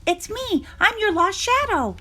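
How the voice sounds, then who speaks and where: A woman's voice: very high-pitched, sing-song, unhurried, sharp tone, soft background music, voice pushed up, exaggerated voice. A woman voicing a timid, loyal male shadow in a children's storybook. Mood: earnest.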